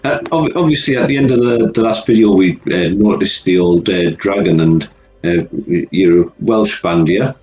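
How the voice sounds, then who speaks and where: A man co-hosting a music interview, asking questions in a halting way with many fillers. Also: deep voice, a little mellow, dull audio. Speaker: co-host. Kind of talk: casual interview chat.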